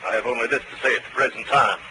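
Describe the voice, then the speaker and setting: A noisy vintage radio recording features an actor as a Southern colonel with a mid-pitched male voice. He drawls with pompous, formal courtesy, a gentlemanly schemer in 1940s mystery style. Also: steady tone, rapid-fire, natural voice. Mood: courtly, stern. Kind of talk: 1940s radio detective drama acting.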